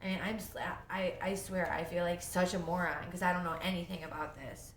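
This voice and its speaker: A young woman talks about her own life at home, her low voice rambling along with plenty of fillers and restarts. She sounds sniffly from a cold. The room is echoey, and a faint hiss sits underneath. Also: little pitch movement, brisk. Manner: rambling, lots of fillers and self-interruptions. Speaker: vlogger sharing her recovery story. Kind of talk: casual first-person storytelling.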